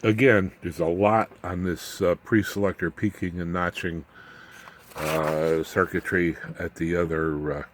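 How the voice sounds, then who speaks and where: Male, low-pitched hobbyist explainer; folksy, slangy, informal technical talk that rambles. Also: little pitch movement, unhurried, slight background noise. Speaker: electronics hobbyist presenter.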